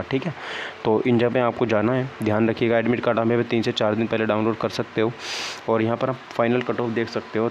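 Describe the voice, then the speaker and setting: Young male vlogger, mid-pitched, downcast conversational explainer, dull home-made sound. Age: young adult. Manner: conversational, often checks in with "okay, right" tags. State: downcast, disappointed. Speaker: exam-prep vlogger. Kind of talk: casual explainer talk to viewers. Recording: dull lo-fi sound, faint background noise.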